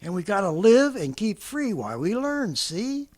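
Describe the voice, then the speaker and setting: Scheming ex-militiaman, raspy, high and swooping, boastful, rambling, old radio drama. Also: big pitch swings, moderate pace, a little mellow. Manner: rambling, boastful, laughing to himself. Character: scheming stranger, a former militiaman with a survival plan. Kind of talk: 1930s radio drama acting.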